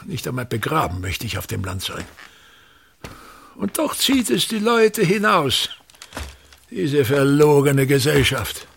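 Grandiose, gravelly old stage tyrant. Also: male voice, a little high, big pitch swings, unhurried, long pauses, fairly bright tone, heavily acted. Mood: disgusted, ranting. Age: older. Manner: theatrical, declamatory, grandiose, complaining. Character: pompous, embittered old touring stage actor, tyrannical father. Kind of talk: radio play monologue.

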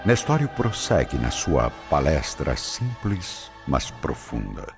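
Very deep dramatic male narrator. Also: very animated, gravelly. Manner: measured, dramatic. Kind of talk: dramatic radio-play narration. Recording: dull audio with loud music.

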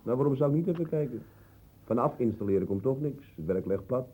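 Husky, dark-voiced laconic working man. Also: mid-range voice, expressive, fairly quick. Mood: unbothered. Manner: laconic, offhand. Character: easygoing, stubborn working-class lodger, construction labourer. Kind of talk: radio drama acting.

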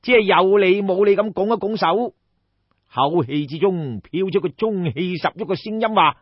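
A man with a Cantonese accent narrating in an animated traditional oral storytelling style. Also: sits high, moderate pace, breathy edge. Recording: dull, roomy audiobook recording.

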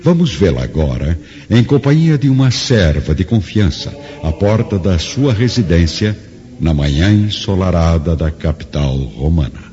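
Deep, dramatic Brazilian male narrator. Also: a little slow. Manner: solemn, expressive storytelling. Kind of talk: dramatic scripted radio narration. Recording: dull lo-fi with faint music.

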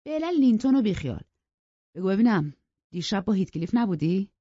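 A storybook narrator plays a surly elder brother who bullies and threatens. He speaks gruffly and impatiently, as if always accusing. The recording is dry and a bit muffled. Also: mid-range voice, expressive, warm tone, no echo, voice pushed up, close to an everyday voice. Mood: angry, threatening.

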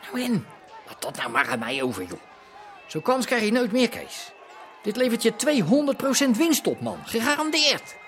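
An actor playing a pushy, cocky underworld wheeler-dealer in a radio drama, talking persuasively. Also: male voice, very high voice, huge pitch swings, normal speed, fairly bright tone, slight background noise, heavily acted. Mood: pushy, eager. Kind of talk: naturalistic radio drama acting.

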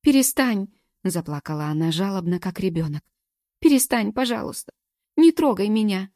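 A woman reading a distraught young woman who shouts accusations through tears. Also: fairly even intonation, fast talker, dry recording, clean studio sound. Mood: pleading. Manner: shrill, accusing.

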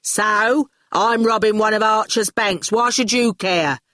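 A woman voicing a loud, bossy, hot-tempered female gang boss in a storybook, growling and barking orders. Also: mid-range voice, measured pace, no room sound, clean studio sound. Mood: scornful. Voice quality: growling, bellowing. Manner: colloquial, brash, barking orders.

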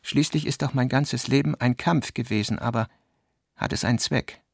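A man's voice: mid-range voice, normal speed, vocal fry, slightly rough, no echo, clean studio sound. A man reading the part of a self-important, striving man in his early thirties, earnest and self-dramatising, in a radio play. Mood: earnest, anguished.

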